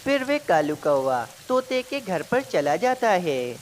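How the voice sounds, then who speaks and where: A woman narrates a children's story in a low voice with lively swings of intonation. Her delivery is that of a scripted storyteller. A faint hiss lies behind her. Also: takes it slowly.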